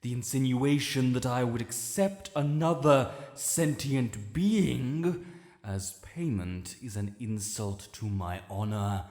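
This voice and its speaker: A man's voice: mid-range voice, darkish tone. Man voicing an ancient British dragon lord, cavernous reverb. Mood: offended, proud. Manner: formal, proud, measured and imperious. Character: ancient proud honorable male dragon lord, stern guardian. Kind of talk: fantasy audio roleplay addressed to the listener. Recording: roomy with long cavernous reverb.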